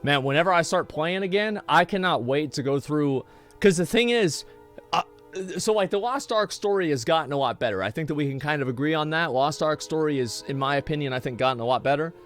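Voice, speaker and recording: A man streaming live talks in a loose, rambling way, swearing freely and restarting his sentences as he goes. His voice sits somewhat high for a man, with faint music playing underneath. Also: lively intonation, a little fast, fairly bright tone. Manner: rambling and profane, many restarts. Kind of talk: casual live-stream chat while gaming.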